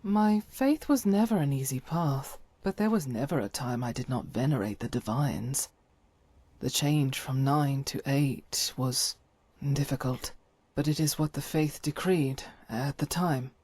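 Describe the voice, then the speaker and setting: Woman acting a low-voiced, solemn holy warrior. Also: a little mellow, slightly rough, no room sound, heavily acted. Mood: reflective, earnest. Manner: solemn, formal, measured archaic phrasing. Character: devout stern holy-warrior woman, sworn to her faith. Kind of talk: scripted video game character dialogue.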